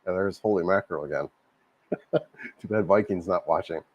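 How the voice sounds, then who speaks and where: A man hosting a casual livestream, a little hoarse from a cold, rambling and repeating himself as he answers viewer comments. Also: deep voice, fairly quick, highs cut off. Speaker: livestream host. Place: home in Cebu, Philippines.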